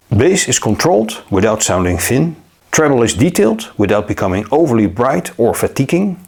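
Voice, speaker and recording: A man narrating a scripted gadget review in a measured, upbeat way. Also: mid-range voice, vocal fry, a little mellow, slightly rough. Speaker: tech reviewer.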